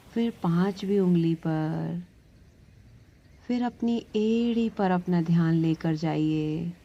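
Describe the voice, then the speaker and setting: Female meditation guide. Very slow, soothing, mellow delivery with long pauses; slightly muffled recording. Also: fairly low pitch. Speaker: yoga nidra meditation instructor.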